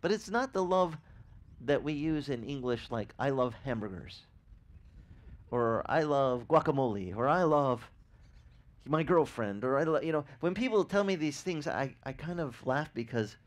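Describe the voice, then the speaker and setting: A man in his forties whose first language is Italian, preaching a sermon on a beach and asking the crowd questions as he goes. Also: mid-range voice. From grew up speaking Italian. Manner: animated, gesturing, asks the crowd questions. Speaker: pastor. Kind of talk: outdoor sermon. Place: sandy beach outdoors.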